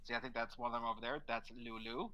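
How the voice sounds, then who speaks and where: A man's voice: medium pitch, fairly even intonation, fast talker, lo-fi sound, crowd noise. A man in his mid-thirties co-hosting from his father's house, chatting in an easygoing, storytelling way over a remote call. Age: 30s. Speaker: co-host.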